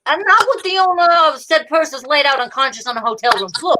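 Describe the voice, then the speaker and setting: A woman playing a gruff but fond, exasperated police detective in an old-time radio drama, hardboiled and teasing. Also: high-pitched, animated, fast talker, background noise.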